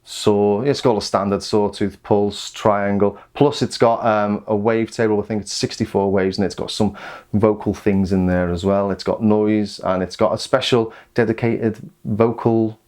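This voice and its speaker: A man's voice: on the low side, fairly even intonation, moderate pace, darkish tone. A British man giving a casual, rambling product overview as a gear reviewer.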